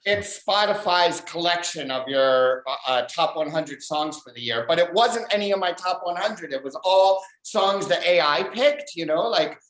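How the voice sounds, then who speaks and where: A man doing a stand-up comedy set over a video call, delivering dry deadpan one-liners. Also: fairly high pitch, normal speed, few pauses, roomy sound, poor audio. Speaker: stand-up comic. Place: abroad in a home room.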